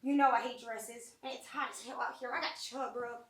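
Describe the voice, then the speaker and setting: Woman playing a high-pitched, bawdy Southern flirt. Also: fairly even intonation, brisk, a bit bright, close to an everyday voice. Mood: grumbling. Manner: bawdy, sassy, complaining. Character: brash, lustful, shameless Southern country woman at a funeral. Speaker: actor in a staged reading of a short play. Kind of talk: live amateur stage comedy acting. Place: small college theatre stage. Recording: roomy distant theatre mic, background noise.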